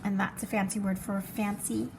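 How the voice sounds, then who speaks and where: A woman reading as an excitable, fancy little girl from a storybook, dramatic and showy with her favorite words. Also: medium pitch, normal speed, gravelly, a little hiss or hum, lightly acted. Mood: excited, proud.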